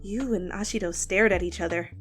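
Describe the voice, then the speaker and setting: A woman's voice: medium pitch, a little fast. A woman narrating fan fiction as a scripted dramatic reading. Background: music.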